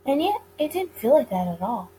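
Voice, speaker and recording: A woman with a fairly high voice reads a visual novel aloud as a gamer would. She trips over words now and then and rereads them. There is noise behind her voice. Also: very animated, normal speed, warm tone, rough recording. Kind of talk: let's play narration, reading story text aloud. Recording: clear background noise.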